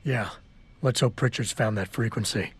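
An actor playing a stoic, determined security operative in a video game, speaking in a measured, terse way. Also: male voice, medium pitch, natural voice. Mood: terse, guarded. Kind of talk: video game cutscene dialogue.

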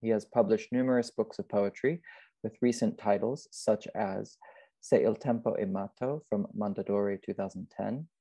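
A man's voice: lowish voice, mellow, no echo. A young man introducing a poet as host, speaking hesitantly and deferentially on a video call. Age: young adult. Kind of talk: spoken introduction. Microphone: wired earphones mic.

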